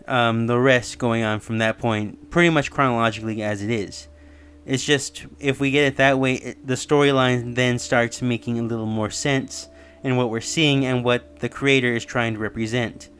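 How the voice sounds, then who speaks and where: A man's voice: mid-range voice, fairly bright tone. A man giving casual, rambling explanatory commentary as the host of a theory video. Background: music under the voice.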